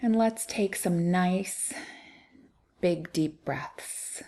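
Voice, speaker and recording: A woman leading a guided meditation in a soft, soothing, unhurried way with long pauses. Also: lowish voice. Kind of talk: guided body scan meditation.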